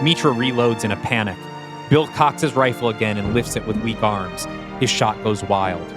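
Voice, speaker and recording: A man reading scripted, screenplay-style narration for an audio play. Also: lowish voice. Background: background music.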